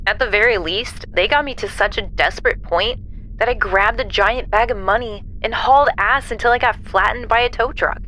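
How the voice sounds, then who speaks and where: Bright, sardonic, salty woman played by an actress. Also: on the high side, moderate pace, a little hiss or hum, poor audio. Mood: wry, determined. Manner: sardonic, salty-tongued, plain-spoken. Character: tough, sardonic dead woman out for revenge. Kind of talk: gothic horror audio drama acting.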